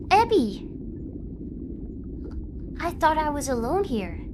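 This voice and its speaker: A woman acting an eager, excitable young shark-girl idol, easily confused, in an animated fan drama. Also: high voice, heavy background noise, exaggerated voice. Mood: startled.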